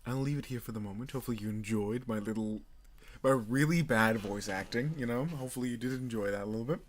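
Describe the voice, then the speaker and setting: Hoarse man signing off a stream. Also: medium pitch, fast. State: hoarse after a cough. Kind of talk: casual stream chat.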